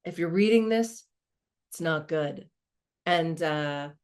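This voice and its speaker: A woman's voice: low-pitched, takes it slowly, a little mellow. A woman acting as a wry, reflective woman lawyer. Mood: wry, amused. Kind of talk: dramatized first-person monologue, conversational.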